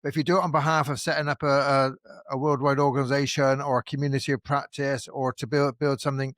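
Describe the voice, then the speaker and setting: A man hosting a conversational podcast, talking in an animated way with frequent restarts and fillers. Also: mid-range voice, fast talker, no echo, studio quality. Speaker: podcast host. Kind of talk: casual conversational interview.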